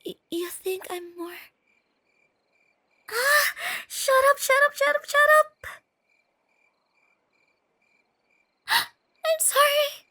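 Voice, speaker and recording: A bashful, nerdy young girlfriend in an ASMR roleplay. Her soft, girlish voice sits extremely high and moves slowly, hesitating and stammering as she gets flustered. It is recorded close and very dry, with night insects chirping behind. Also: animated, no echo, heavily acted. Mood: flustered, embarrassed, then flustered, panicked.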